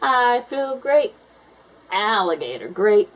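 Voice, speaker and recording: A woman voicing an exuberant, proud and sociable female alligator in a children's storybook, with emphatic, drawn-out words. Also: mid-range voice, sing-song, pitched above the performer's own voice. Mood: cheerful.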